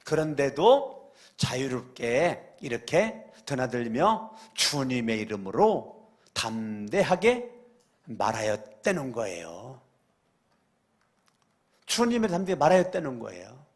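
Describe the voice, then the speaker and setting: A male pastor preaching a church sermon, repeating phrases and asking the congregation questions, moving between loud exclamations and quiet asides. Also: medium pitch, sing-song, slow. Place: church sanctuary during a weekday service.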